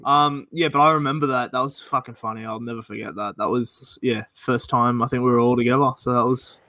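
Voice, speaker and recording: A man's voice: medium pitch, steady tone, brisk, sharp tone, no echo. An Australian man in his twenties, co-hosting a podcast, reminiscing with friends, laughing often and swearing freely. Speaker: podcast co-host. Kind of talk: casual chat among mates.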